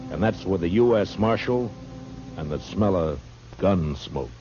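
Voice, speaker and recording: An American actor playing a firm, laconic frontier marshal in a 1950s radio western. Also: male voice, deep voice, animated, unhurried, loud music behind the voice. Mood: grim. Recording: muffled, noisy old radio recording with hum.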